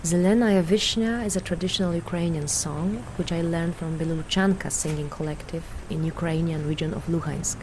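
A woman from Poland with a Polish accent, giving a measured, earnest prepared statement as an artist and vocal performer. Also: fairly low pitch, fairly even intonation, background noise.

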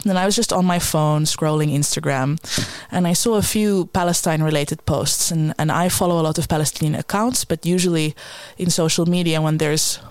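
A woman guest in a conversation, talking in an earnest, thoughtful and measured way. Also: sits low, a little mellow, clear, resonant tone, dry recording. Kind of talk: reflective conversation.

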